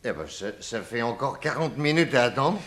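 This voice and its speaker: A man's voice: medium pitch, lively intonation, fairly bright tone. An actor playing a genial, tipsy detective uncle who rambles and stammers through his tale in a 1960s French radio drama. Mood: concerned, hesitant. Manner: hesitant, halting, stammering.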